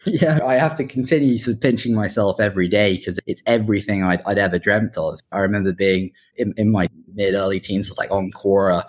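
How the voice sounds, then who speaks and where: A man's voice: fairly low pitch, fairly even intonation, brisk, hardly any pauses. A man in his twenties giving conversational interview answers, talking earnestly and enthusiastically about his own story. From Hong Kong and UK. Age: 20s. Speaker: startup founder being interviewed.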